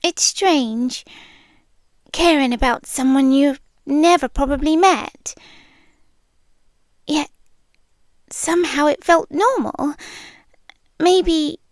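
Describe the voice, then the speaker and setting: A British woman playing a shy, smitten monster from under the bed in an ASMR roleplay, speaking softly and tenderly, often in whispers, close to the microphone. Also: high voice, no echo. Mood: shy, confessional. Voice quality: soft, breathy, often dropping into whispers. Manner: slow, hesitant, tender delivery. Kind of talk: ASMR roleplay, intimate monologue. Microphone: close microphone, dry.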